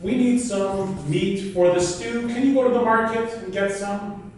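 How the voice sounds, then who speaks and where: A man's voice: high-pitched, steady tone, unhurried, a little hiss or hum, pitched above the performer's own voice. A man voicing an inquisitive, fussing older wife in a folk tale. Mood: curious. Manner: questioning, fussing. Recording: very echoey room, distant mic.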